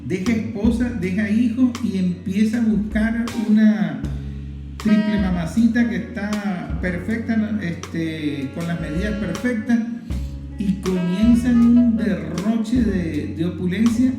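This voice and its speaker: A man's voice: high-pitched, slow. A man with a Venezuelan accent giving informal political commentary in a colloquial, moralizing way, repeating phrases for emphasis. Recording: roomy echo, music underneath.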